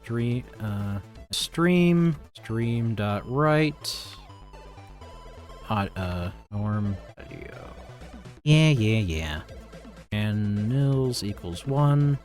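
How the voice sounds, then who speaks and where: A man's voice: fairly low pitch, lively intonation, extremely slow, a little mellow. A man thinking aloud in casual, rambling, self-deprecating commentary as he live-streams his programming. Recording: music playing behind the voice.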